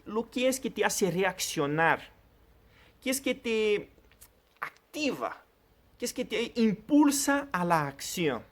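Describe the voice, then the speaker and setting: A man's voice: sits high, big pitch swings, slow pace. A man whose first language is Portuguese, preaching a sermon in an earnest, direct way and addressing the listener personally. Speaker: pastor.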